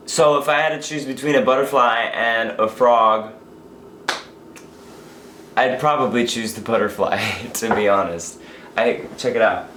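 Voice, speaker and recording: Hoarse, tired young man, echoey room. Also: on the low side, a bit bright, a little hiss or hum. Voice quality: rambling, self-interrupting. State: hoarse, losing his voice from illness; tired after a long work shift. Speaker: vlogger. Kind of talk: casual daily vlog.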